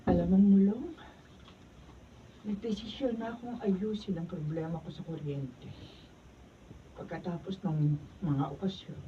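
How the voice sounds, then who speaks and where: A woman's voice: lowish voice, measured pace, pauses often, warm tone, close to an everyday voice. A woman with a Filipino accent playing a repentant, well-meaning elder sister-in-law in a radio drama. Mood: remorseful. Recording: muffled, distant, faintly noisy studio.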